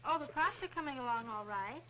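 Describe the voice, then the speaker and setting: An actress as a high, brisk 1940s film woman. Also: lively intonation, crowd noise, poor audio. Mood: polite, cheerful. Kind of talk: 1940s educational film acting. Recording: old educational film soundtrack, faint noise.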